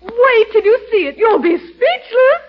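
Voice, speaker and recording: A woman's voice: very high voice, very animated, unhurried, exaggerated voice. Casting: effusive, gracious lady customer, swooping delivery, affected manners, dull old radio recording. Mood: gushing. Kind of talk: 1940s radio comedy acting.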